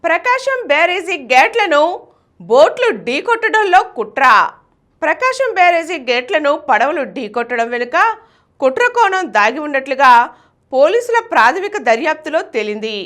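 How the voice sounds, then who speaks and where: A woman's voice: high voice, big pitch swings, moderate pace, a bit bright. A woman reading scripted news bulletins as a news reader.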